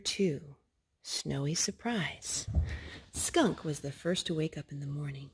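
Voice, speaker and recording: A woman reading a picture book aloud to a young child, gently asking him questions between pages. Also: sits low, slow pace, slightly rough. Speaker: storyteller.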